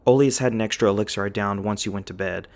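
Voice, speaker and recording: A big himbo werewolf acted out by a man with a lowish male voice. He is easygoing and friendly, rambling eagerly to the listener in an ASMR roleplay, a bit slow on the uptake. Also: a little mellow, lightly acted. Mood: reassuring, casual. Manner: rambling, casual, eager, slightly dim.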